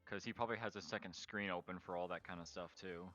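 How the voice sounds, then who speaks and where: Bright-toned easygoing male co-streamer. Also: sits low, fairly even intonation, quick pace, dull audio, soft background music. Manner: easygoing, joking. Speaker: co-host on stream. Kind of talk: casual game stream chat.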